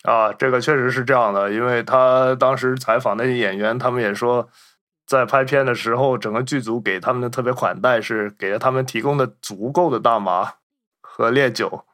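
A man's voice: medium pitch, steady tone, moderate pace, fairly bright tone. A man hosting a casual film discussion, talking in an informed, explanatory way. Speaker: podcast host.

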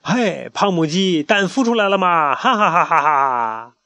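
A loudmouth farmyard bully jeers and cackles in a drawn-out, high, taunting voice, as a father puts him on. It swoops wildly in pitch and sounds dry and close. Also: expressive, dry recording. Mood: mocking. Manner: loud, jeering, cackling. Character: loud-mouthed mocking farmyard bully.

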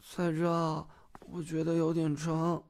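A man's voice: fairly high pitch, monotone, slow, gravelly, darkish tone, slight background noise. An actor playing a young male beat cop who is cheeky, brash and playful in an audio drama. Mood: lazy, affectionate.

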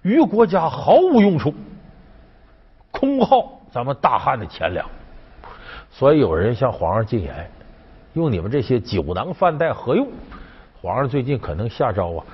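A wily ancient court official, played by a male storyteller, coaxing and griping in colloquial style. The voice is husky and swings widely in pitch, on a slightly dull recording. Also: very animated, normal speed, pauses often. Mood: scheming. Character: wily male Han court official, bluffing, aggrieved schemer.

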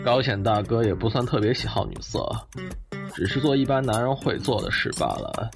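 A man's voice: medium pitch, expressive, fairly quick, gravelly. A man playing a worldly middle-aged member of a wealthy family in an audio drama, opinionated and matter-of-fact. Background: loud music and clinking tableware.

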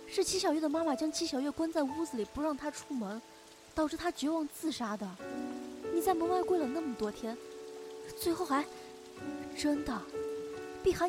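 A steadfast young woman in a radio drama, played by an actress, speaking in a high voice. She is earnest and protective, reassuring as a close friend, over clear background music. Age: young adult. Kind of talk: Chinese audio drama acting.

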